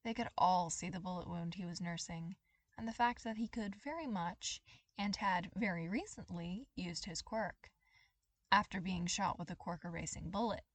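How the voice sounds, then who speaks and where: A woman reading a story aloud as scripted, expressive dramatic narration. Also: lowish voice, moderate pace, dry recording. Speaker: podfic reader.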